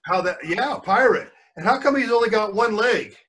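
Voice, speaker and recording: An older man reading a storybook aloud as a grandfather would, pausing for chatty asides and questions to young listeners. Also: high voice, animated, fairly bright tone, distant mic. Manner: pauses to quiz the listeners.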